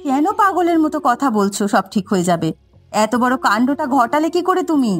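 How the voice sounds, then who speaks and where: A woman's voice: high-pitched, very animated, fairly quick, soft background music. A woman dubbing a worried village wife in a cartoon, fussing and emphatic. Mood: worried, reassuring. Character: worried, scolding yet reassuring village wife.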